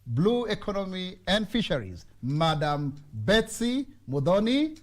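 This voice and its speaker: A man's voice: high voice, big pitch swings, unhurried. A man, hosting a TV studio interview with a joking, bantering manner. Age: 40s. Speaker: TV talk show host.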